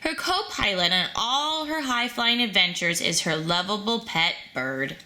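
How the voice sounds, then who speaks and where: A woman reading a children's storybook aloud in an animated, expressive storytelling manner. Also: medium pitch, a little slow. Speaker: storybook reader.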